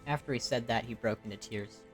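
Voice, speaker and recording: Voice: medium pitch, fast. An amateur narrator reading a scripted game story at home. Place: quiet room at home. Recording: home recording with faint music behind.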